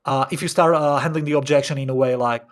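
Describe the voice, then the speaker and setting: A man's voice: medium pitch, fast. A young man from Slovakia with a Slovak accent, a native Slovak speaker, coaching in a casual teaching monologue and animatedly acting out little dialogues. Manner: animated, acts out little dialogues, frequent filler sounds. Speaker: online business coach.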